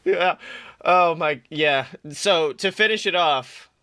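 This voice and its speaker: A young man rambles and jokes through a casual fan chat with lots of fillers. His voice is bright and a touch high. The recording is close and dry. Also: slow, clear, resonant tone, no room sound. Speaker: podcast co-host.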